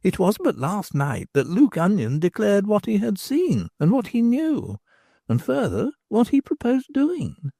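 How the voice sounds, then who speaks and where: A woman's voice: mid-range voice, sing-song, a little slow, creaky, dark tone, breathy edge, dry recording, clean studio sound, voice pushed up, heavily acted. A reader voicing a grave young woman who explains things in a formal, careful way. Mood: earnest.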